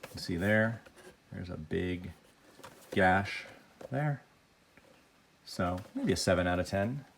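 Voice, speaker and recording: A man with a cold talks in a very low, gravelly voice. He chats plainly as he unboxes and grades toys, and faint noise sits under the recording. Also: lively intonation, slow, pauses often. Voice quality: deeper than usual, gravelly. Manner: plain, chatty, grading each item out loud. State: has a cold. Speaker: toy unboxing vlogger. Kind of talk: casual unboxing commentary.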